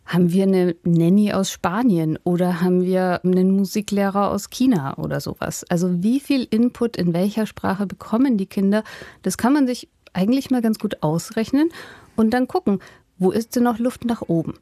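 A woman's voice: medium pitch, moderate pace, dark tone, dry recording, studio quality. A woman, a linguistics professor explaining her field in an interview with everyday examples and easy laughter. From Upper Franconia, Germany. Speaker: linguistics professor and author, guest.

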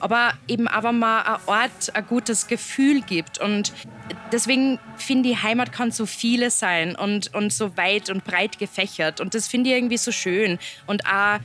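A young woman from Upper Austria with an Upper Austrian accent, speaking as a musician guest in a relaxed interview, chatty and loose. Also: medium pitch, moderate pace. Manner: chatty, loose, laughs between thoughts.

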